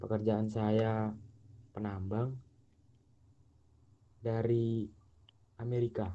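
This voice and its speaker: A man introducing his roleplay character in a slow, drawn-out way. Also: lowish voice, flat delivery, warm tone, lo-fi sound.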